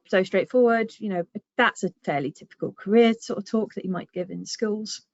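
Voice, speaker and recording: A woman's voice: medium pitch, no echo. A woman from southern England with a southern English accent, giving a chatty webinar talk with lots of ums over a video call. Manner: chatty, frequent ums, self-deprecating asides. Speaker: guest speaker, public engagement manager. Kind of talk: webinar presentation. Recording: dry, muffled video-call audio.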